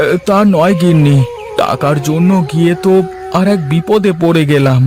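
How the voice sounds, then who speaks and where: A man's voice: on the high side, moderate pace, music in the background, natural voice. A man voicing a poor, humble village father in a cartoon fairy tale, hesitant and deferential. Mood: dejected.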